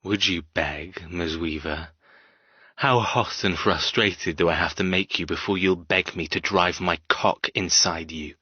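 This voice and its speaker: Guy doing cold cocky romance villain for an audiobook, deep voice, controlled and teasing, dry slightly muffled sound. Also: pushed and bright, slightly rough, no room sound, full character voice. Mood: menacing, teasing. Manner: low, deliberate, controlled, taunting. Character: cold, arrogant, seductive man taunting his captive. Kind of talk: audiobook character dialogue.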